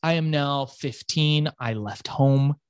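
A man from Iran, whose first language is Farsi, telling his life story as an interview guest in a swaggering, profane, animated way. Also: medium pitch, takes it slowly, smooth, no echo, clean studio sound. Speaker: entrepreneur guest.

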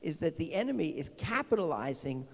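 A middle-aged pastor with a New Jersey accent gives a chatty homily into a handheld microphone, full of restarts and questions to the pews. His voice sits a little high, yet it sounds mellow and gravelly. A long church reverb trails after his words. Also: very animated, brisk. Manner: informal, repetitive restarts, asks the congregation questions. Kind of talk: conversational homily. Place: large decorated church sanctuary.

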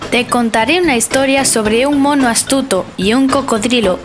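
A woman's voice: a little high, moderate pace. Woman narrating a children's story over music.

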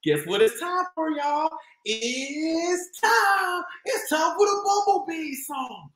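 An American man tells a story to little children in a theatrical way. His voice is bright and swoops widely in pitch. He draws his words out slowly, in a roomy space. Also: sing-song. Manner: theatrical, exclaiming, drawn-out delivery. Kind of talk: animated read-aloud for young children. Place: small indoor room. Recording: roomy echo.

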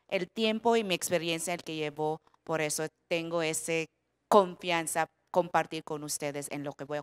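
A Filipino woman speaking on a panel in careful, halting Spanish that is not her first language. Her voice sits low and sounds smooth and clear. She keeps pausing to find the right word. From Philippines. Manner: halting, searching for words, frequent "¿no?". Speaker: panelist, product development director. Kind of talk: panel talk.

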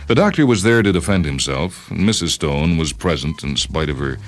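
A man's voice: a bass voice, lively intonation, a little fast. A man reading a short story as a scripted narration.

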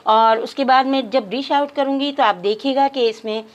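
A woman's voice: high-pitched, steady tone, normal speed, lo-fi sound, a little hiss or hum. A woman narrating a cooking tutorial step by step, addressing viewers politely. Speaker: cooking channel host.

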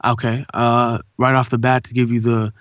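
A man's voice: mid-range voice, flat delivery, normal speed, fairly bright tone, no echo, lo-fi sound. A man born in India and raised in Southern California, with an urban SoCal accent, chatting earnestly as a voice actor guest and often saying "man". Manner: laid-back, earnest, says "man" a lot. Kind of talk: casual interview chat.

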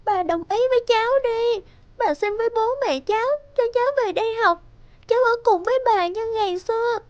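A woman playing a sensitive, aggrieved sixteen-year-old schoolgirl in an animated drama, with a plaintive, youthful, emotional delivery. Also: very high voice, a little fast, no room sound, dull audio. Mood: pleading, sulky.

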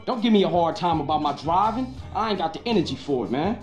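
A man's voice: fairly high pitch, expressive, fast, darkish tone, echoey room, soft background music, natural voice. An actor playing a tired, level-headed young male gangster in a video game, talking in laid-back street slang. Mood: weary. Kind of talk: video game cutscene acting.

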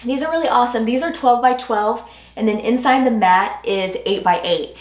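Hoarse, upbeat woman vlogger. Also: on the high side, normal speed. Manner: chatty, upbeat, enthusiastic. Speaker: home decor vlogger. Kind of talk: casual product review to viewers. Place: small furnished rooms at home, indoors. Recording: roomy echo.

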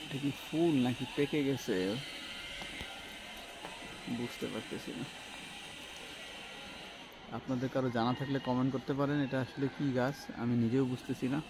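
A man's voice: medium pitch, steady tone, normal speed, pauses often. A man with a Bangladeshi accent chatting casually as a vlogger, musing aloud and asking questions while filming outdoors on a handheld phone. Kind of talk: casual spoken commentary while filming plants. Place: outdoors on a rooftop garden. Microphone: handheld phone behind the camera. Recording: phone recording with clear outdoor ambient noise.

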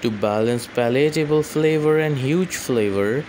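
A man reads a scripted voice-over at a middle pitch, keeping the same flat delivery from item to item. A clear, steady noise runs under the whole recording. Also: a little slow, poor audio. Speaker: voice-over narrator. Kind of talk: scripted narration.

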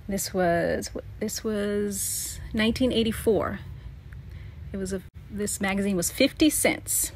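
A middle-aged woman thinking aloud in a halting, casual way as she comments on a scrapbook for a vlog. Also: mid-range voice, slight background noise. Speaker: vlogger, memoir author.

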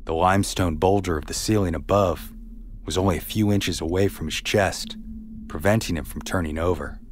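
A man narrating a scripted true story as a podcast host, in a measured, somber way. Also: deep voice, a little hiss or hum.

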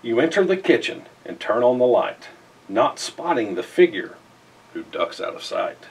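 A middle-aged man reads a rhyming children's picture book aloud in an expressive, theatrical way with emphatic phrasing. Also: mid-range voice, normal speed, gravelly, husky, recorded from a distance. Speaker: storybook reader. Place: indoors in front of a festive backdrop.

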